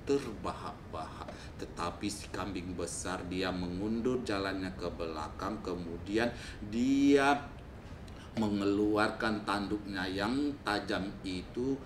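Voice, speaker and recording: A man's voice: low voice, unhurried. A man telling a folktale to children as a storyteller. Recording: echoey room with faint noise.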